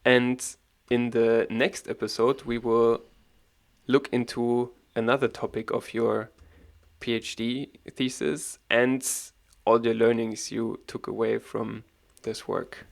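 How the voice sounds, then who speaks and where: Gravelly-voiced male podcast host. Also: medium pitch. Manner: calm, summarizing, measured. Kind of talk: podcast interview hosting.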